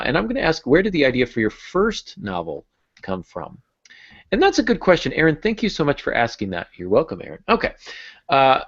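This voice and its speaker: An American man in his thirties chatting casually as a podcast host, with a jokey, self-deprecating manner. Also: medium pitch. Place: small home room on a video call. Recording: dull, compressed video call audio.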